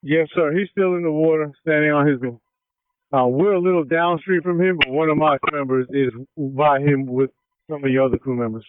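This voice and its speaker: A man's voice: fairly high pitch, steady tone, fairly quick, clear, resonant tone. A man, a firefighter, giving updates over emergency two-way radio. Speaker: firefighter officer. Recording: tinny, dry two-way radio.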